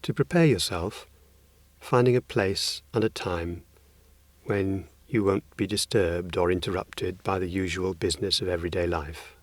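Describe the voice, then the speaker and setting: Male meditation guide, low register, gentle delivery with spacious pauses, very dry studio sound. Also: no echo. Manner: gentle, unhurried phrasing with long pauses. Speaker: meditation teacher.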